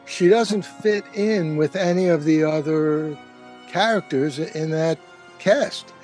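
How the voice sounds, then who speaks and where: A man speaking as a panelist in a casual roundtable, with a halting, stammering delivery. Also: on the high side, a little mellow, faint music behind.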